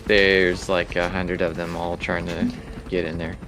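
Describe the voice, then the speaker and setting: A man's voice: deep voice, little pitch movement, a little slow, fairly bright tone. A man running a tabletop game as game master, narrating casually and improvising as he goes. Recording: faint background noise.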